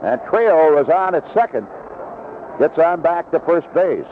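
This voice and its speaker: A man's voice: on the high side, sing-song, unhurried, phone-quality sound. Band-limited, noisy vintage radio baseball announcer, man. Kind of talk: live play-by-play commentary with promotional reads. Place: outdoor ballpark broadcast booth.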